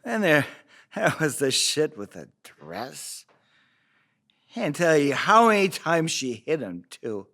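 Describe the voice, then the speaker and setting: A man playing a crude, bitter, drunken father making excuses in a halting, rambling table-read monologue. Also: a little high, sing-song, creaky, fairly bright tone, exaggerated voice. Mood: defensive, bitter. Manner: slurred, halting drunken delivery with rambling asides.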